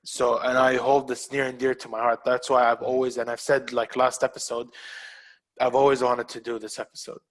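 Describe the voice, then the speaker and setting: A man's voice: mid-range voice, little pitch movement, fairly quick. A man hosts a casual podcast and talks with passion. He presses his points hard and repeats phrases for emphasis. His voice sits in an ordinary middle register. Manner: impassioned, emphatic, repeats himself for stress. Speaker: podcast host.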